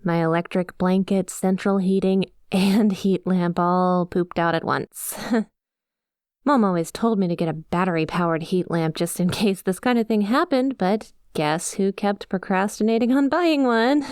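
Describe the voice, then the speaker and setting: A woman in an ASMR roleplay voicing an affectionate young lamia best friend, softly and intimately, with some hesitation. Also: medium pitch, clear, resonant tone, no echo, clean studio sound, natural voice. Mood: sheepish, concerned.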